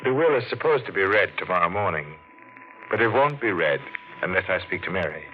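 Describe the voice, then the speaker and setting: A brisk, probing lawyer. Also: male voice, sits low, lively intonation. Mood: insistent. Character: brisk businesslike lawyer on an estate matter. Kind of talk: 1940s radio acting. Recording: muffled 1940s radio broadcast with faint hiss.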